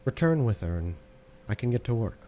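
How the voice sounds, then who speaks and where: A man's voice: mid-range voice, sing-song, fairly quick, dark tone, a little hiss or hum, pitched above the performer's own voice, close to an everyday voice. A man voicing an aloof female vampire sorcerer in a tabletop game, speaking formally and without humour. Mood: cool, impatient. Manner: formal, terse, clipped courtesy.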